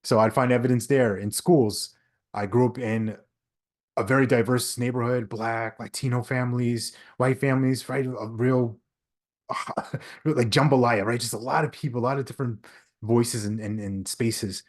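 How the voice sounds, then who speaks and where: A man's voice: mid-range voice, steady tone, moderate pace. A man in his thirties from Long Island, a podcast guest telling a reflective personal story with frequent restarts. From Long Island, New York. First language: English. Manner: earnest, reflective, frequent restarts and "right?" tags. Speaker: podcast guest, leadership speaker. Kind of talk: conversational storytelling.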